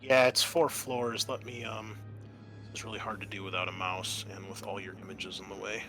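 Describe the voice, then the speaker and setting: A man acting as game master, explaining a tabletop roleplaying game in a casual, halting way. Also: fairly low pitch, level tone, pushed and bright, faint music behind.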